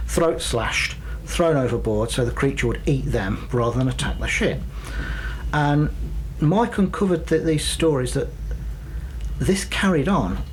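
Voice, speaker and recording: A man co-hosting a radio show, recounting a tale informally and explanatorily, often halting and doubling back on his words. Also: medium pitch, slight background noise, poor audio. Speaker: radio co-host. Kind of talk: informal spoken storytelling, explanatory.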